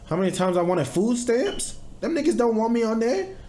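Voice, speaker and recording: A man's voice: very high voice, sing-song, moderate pace, dark tone. A young man hosting a reaction video, talking bluntly and profanely in casual commentary.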